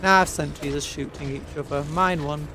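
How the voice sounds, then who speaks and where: A woman's voice: sits low, steady tone, moderate pace, noisy background. A British woman giving casual, playful, chatty gameplay commentary as a streamer. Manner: playful, chatty, talking to the game. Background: game audio and music.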